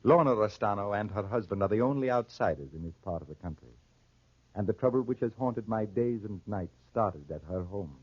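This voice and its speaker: Muffled old radio drama recording of a proud, grave farmer father. His low voice swings expressively and carries a husky edge as he tells his story with deliberate weight. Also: lively intonation, no room sound. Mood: grave narration. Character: proud, honor-bound Corsican farmer father, narrating his tragedy. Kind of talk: 1940s radio drama acting.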